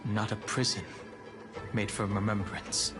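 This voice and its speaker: An actor playing an immortal dragon scion in a video game, composed and resolute, speaking in a measured, formal, stately way. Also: male voice, on the low side, little pitch movement, close to an everyday voice. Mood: earnest resolve. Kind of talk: scripted video game voice acting. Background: game music.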